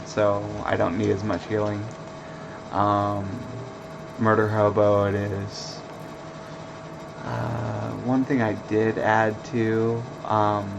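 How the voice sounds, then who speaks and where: Low monotone guy streaming a game, slow and chill, ac hum in the back. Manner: monotone, laid-back with fillers. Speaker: let's play streamer. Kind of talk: casual gameplay commentary.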